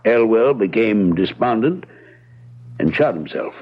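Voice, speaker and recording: An actor playing a reserved, evasive American attorney in old-time radio drama style, hedging in a measured, matter-of-fact way. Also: male voice, fairly low pitch, lively intonation. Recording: tinny, narrow-band vintage radio broadcast.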